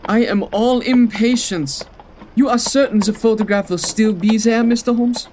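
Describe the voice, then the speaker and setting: A man's voice: sits high, moderate pace, darkish tone, lightly acted. A man plays a stately king, anxious and impatient, in a comedy audio drama. He speaks with regal formality, over faint background noise. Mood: impatient, uneasy.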